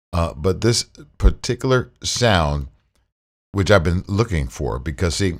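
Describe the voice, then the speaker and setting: A man with a very low, deep baritone speaks close to a studio condenser mic. He talks in a relaxed, repetitive way, circling back over his points with plenty of "um"s, like a casual home-studio gear demo. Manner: relaxed, repetitive, uses fillers. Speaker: tech reviewer. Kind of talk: casual tutorial with screen share.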